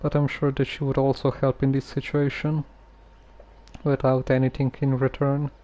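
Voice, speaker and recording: A man giving casual game commentary as a streamer, musing in a halting, hesitant way. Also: medium pitch, monotone, mellow.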